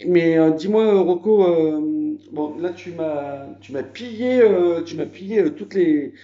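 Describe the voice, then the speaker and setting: A man's voice: a little high, slow pace, warm tone, lo-fi sound. A man from France chatting casually at his country home on a phone video, rambling with many fillers. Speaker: vlogger. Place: house in the countryside.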